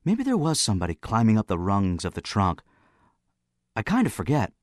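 A man voicing a candid, excitable teenage schoolboy in an audiobook, earnest and a little flustered as he remembers. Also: on the low side, no room sound, clean studio sound. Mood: uncertain. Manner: spontaneous, earnest, halting as he remembers.

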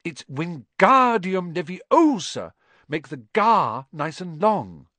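A man's voice: a little high, huge pitch swings, a little slow, creaky, slightly rough, no echo, voice pushed up, heavily acted. A man doing a bossy know-it-all schoolgirl. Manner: snappish, correcting.

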